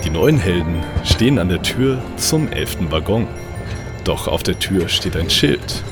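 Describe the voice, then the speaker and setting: A man's voice: low-pitched, sing-song, unhurried, over loud music. A man narrating a comic audio play as host, with a playful, mock-dramatic storytelling manner.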